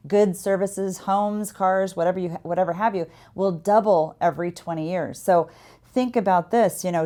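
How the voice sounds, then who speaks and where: A middle-aged woman hosts a money show, talking earnestly through retirement planning and posing questions straight to the listener. Her voice sits low and mellow, and she leans on fillers like "uh" and "you know" as she explains. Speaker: host and money coach. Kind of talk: solo talk on financial planning.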